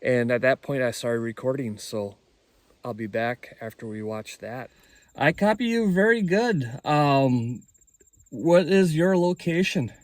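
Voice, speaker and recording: A man's voice: medium pitch, lively intonation, measured pace, no echo. A man speaking casually as an amateur radio operator hosting a hobby video. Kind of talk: casual hobby vlog talk and radio calling.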